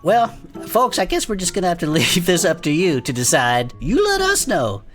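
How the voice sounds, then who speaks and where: A woman's voice: fairly low pitch, sing-song, normal speed, soft background music. A woman playing a brash, grouchy, sweary cryptid hunter in improvised comedic fiction podcast banter, with a slangy, emphatic, theatrical delivery. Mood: hearty, pitching. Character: brash, sweary, grouchy cryptid-hunting sidekick in a fantasy world. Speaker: podcast co-host.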